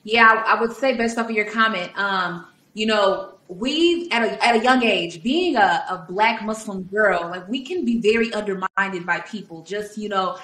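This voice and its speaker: A woman's voice: medium pitch, moderate pace, hardly any pauses, pushed and bright. A young adult woman, a musician guest in an interview, telling her story with emphatic, passionate conviction. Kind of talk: conversational interview answers. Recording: roomy echoey room.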